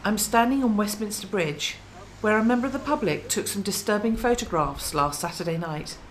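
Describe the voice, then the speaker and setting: A woman's voice: medium pitch, normal speed, slight background noise, close to an everyday voice, poor audio. A woman playing a determined, earnest news reporter in a radio drama, with emphatic delivery. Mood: formal reporting.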